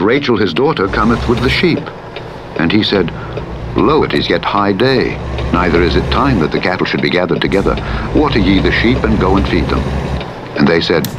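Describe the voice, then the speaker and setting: Man, very low voice, solemn scripture narration, measured formal phrasing, loud vehicle rumble behind. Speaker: Bible audiobook narrator. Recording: played in a game over rumbling truck engine noise. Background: vehicle rumble from a truck simulator game.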